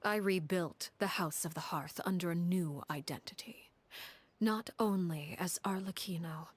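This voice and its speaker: A commanding woman of cold composure, tells dark video game lore. Her voice sits fairly low and stays hushed and breathy. Each phrase is solemn and deliberate. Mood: reflective, composed. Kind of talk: dramatic storytelling in a video game.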